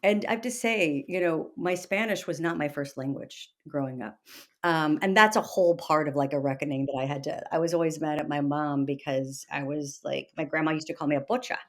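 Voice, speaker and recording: A woman in her early fifties from Texas speaks as an interview guest in a slightly low register. Her delivery is conversational and personal, emphatic, and often halting as she rephrases herself. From Texas, United States. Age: early 50s. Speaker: podcast guest, author and meditation teacher.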